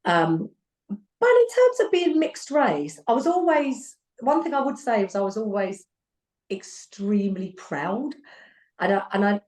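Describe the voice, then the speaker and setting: English woman in her 40s telling stories on a podcast, laughs a lot, middle pitch, roomy echo. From England. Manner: animated, laughs often, repeats herself. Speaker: podcast guest. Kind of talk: casual conversation. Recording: echoey room.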